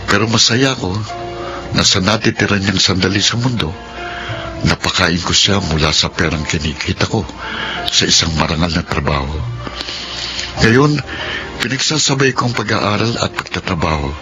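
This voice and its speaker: A low-pitched, humble young man, played by an actor in a radio drama, speaks with earnest, hesitant gratitude. He is an emotional son who works and studies, with music clearly behind his voice. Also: normal speed, pauses often, natural voice. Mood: reflective, earnest. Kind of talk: Filipino radio drama acting with first-person narration.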